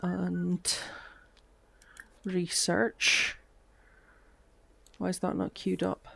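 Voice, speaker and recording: A woman chatting casually through gameplay commentary, her voice cutting out from a lingering cough as she thinks aloud with soft asides. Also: on the low side, completely flat delivery, drawn-out words, vocal fry, husky. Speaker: game streamer.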